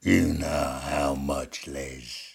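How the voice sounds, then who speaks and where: An actor in an audio story playing a huge male thug with a slow, deliberate, mock-friendly menace and a gravelly, husky voice. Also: very deep voice, fairly even intonation, full character voice. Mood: cold, taunting. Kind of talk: dramatised audio story dialogue.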